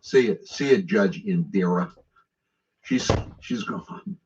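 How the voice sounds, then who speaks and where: A man's voice: lowish voice, huge pitch swings, takes it slowly, creaky, recorded from a distance, noisy background. An American man delivering an opinionated, sarcastic radio monologue in a mocking, conversational way. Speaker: political talk radio host. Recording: dull lo-fi radio relay.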